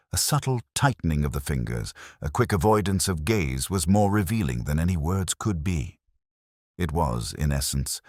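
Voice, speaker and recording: A man narrating a scripted mystery story in a measured, formal storytelling manner. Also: sits low, dry recording, clean studio sound. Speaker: audiobook narrator.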